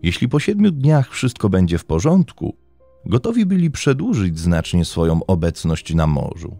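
Deep-voiced man narrating true crime. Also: creaky, a little mellow, dry recording. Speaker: podcast host and narrator. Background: background music.

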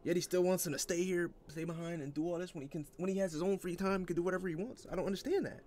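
A man's voice: high voice, racing, a little mellow, slight background noise. A man giving casual opinion commentary as an online anime fan, in an argumentative, exasperated manner.

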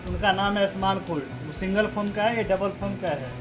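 A young man in a mattress workshop, explaining each step while he works, with loud music playing over his voice. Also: high voice, fast talker. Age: young adult. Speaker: factory worker explaining mattress making. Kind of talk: casual how-to explanation.

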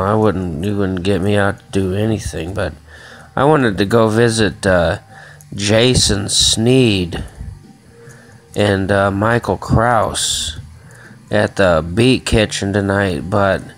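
Slow, low-voiced rambling man. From Chicago area. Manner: rambling, swears often, many fillers. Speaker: vlogger. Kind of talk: casual rambling vlog. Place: small indoor room at home. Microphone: phone camera held in hand.

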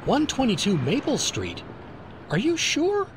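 A woman acting an excitable street witness in a radio play. Her shocked voice swings wildly in pitch over clear street noise. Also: measured pace. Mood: baffled. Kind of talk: old-time radio drama acting. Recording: noisy street ambience, traffic.

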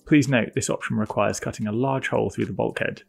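A man giving a scripted product narration in a measured, explanatory way. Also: mid-range voice, fairly even intonation, creaky, a little mellow, breathy edge, faint music behind.

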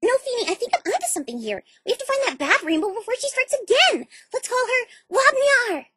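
A woman voicing a bubbly, scatterbrained young female pony in a cartoon dub, excitable and naive. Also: extremely high pitch, fairly quick, dry recording, clean studio sound, full character voice. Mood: excited. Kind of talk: cartoon fandub acting.

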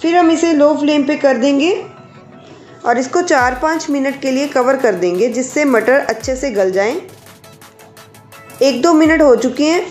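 A woman hosting a cooking channel, calmly explaining each step of a recipe to her viewers. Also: high-pitched, echoey room. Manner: calm, explanatory, addresses viewers directly. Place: home kitchen.

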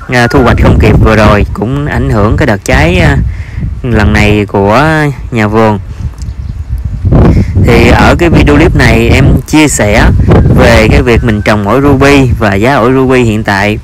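A man's voice: mid-range voice, heavy background noise. Southern Vietnamese man narrating a farm vlog. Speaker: farmer and vlog host.

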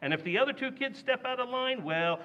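A man's voice: extremely high pitch, lively intonation, fairly quick, sharp tone, highs cut off, heavily acted. An actor playing a blustering, scheming middle-aged family man in an old-time radio comedy, exclaiming and stammering. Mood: smug. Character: blustering, scheming middle-aged husband and father, easily outraged.

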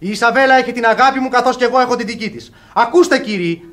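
A proud young lover in a classic radio comedy. His voice is remarkably high for a man, and he declaims formally, ardent and defiant. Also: fairly bright tone. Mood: earnest. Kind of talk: theatrical radio comedy acting.

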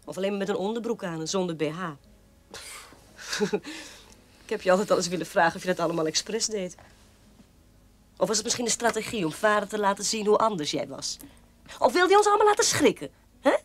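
An actress playing a mocking, sharp-tongued sister in a radio play, needling and scornful. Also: female voice, mid-range voice, expressive, brisk, pauses often, natural voice. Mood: amused scorn.

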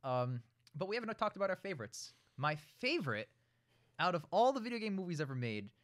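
A man co-hosting a relaxed, conversational discussion of films. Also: high-pitched, fairly quick. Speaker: podcast co-host. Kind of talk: casual film discussion.

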